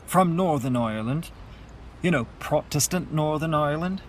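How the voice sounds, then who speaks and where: A man's voice: fairly high pitch, animated, moderate pace, a little hiss or hum, close to an everyday voice. A man playing a wry, stubborn mourner. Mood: sardonic, confiding. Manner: dry, teasing, sardonic. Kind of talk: radio play dialogue.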